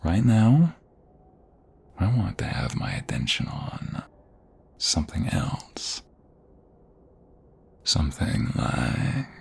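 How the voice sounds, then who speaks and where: A man playing a flirtatious, protective lover in an intimate ASMR romance roleplay, with a husky, gravelly voice. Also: sits low, sing-song, slow, long pauses, a little mellow, exaggerated voice. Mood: seductive, hushed. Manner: intimate, affectionate, coaxing, one-sided dialogue. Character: flirtatious, protective male lover, tender mobster romance hero.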